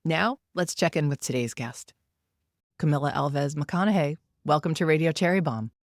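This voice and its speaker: A woman hosts a podcast in a voice with a lot of vocal fry. The sound is dry and close, and she moves between scripted announcements and interview questions. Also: low-pitched, moderate pace, no echo. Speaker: podcast host.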